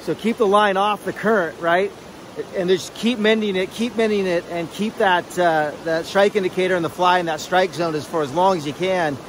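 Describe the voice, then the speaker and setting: A man's voice: sits high, steady tone, normal speed, a little hiss or hum. A man filming himself fly fishing outdoors by a river, talking casually with many fillers and restarts.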